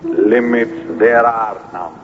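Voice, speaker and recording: Ghostly solemn poet spirit, slow incantation, big echo, tinny old radio. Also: male voice, on the low side, steady tone, slight background noise. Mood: solemn, mystical. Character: solemn male spirit medium channelling a lofty dead poet. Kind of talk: radio drama acting.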